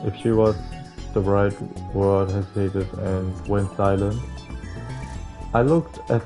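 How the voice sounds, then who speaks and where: A young man reading a visual novel aloud in a halting, casual way, like a gaming streamer. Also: on the low side, steady tone. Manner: halting, stumbling reading with casual slangy interjections. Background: faint game music.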